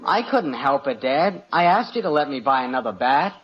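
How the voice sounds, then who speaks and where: An actor playing a whiny, defensive adolescent son in an old-time radio comedy. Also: male voice, medium pitch, big pitch swings, normal speed, close to an everyday voice. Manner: defensive, grumbling. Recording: dull old radio recording.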